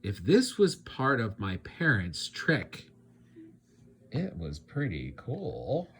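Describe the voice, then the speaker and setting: A man reading a children's storybook aloud as a teacher, with dramatic and deliberate phrasing. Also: mid-range voice, huge pitch swings, slight background noise. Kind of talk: expressive children's storybook narration.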